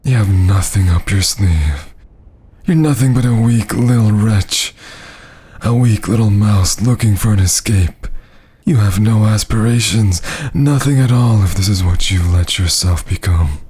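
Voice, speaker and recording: A man playing a haughty, menacing vampire lord in an ASMR roleplay, breathy and husky, often dropping to a whisper, with theatrical archaic phrasing. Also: on the low side, a little slow, dark tone. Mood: scornful, menacing.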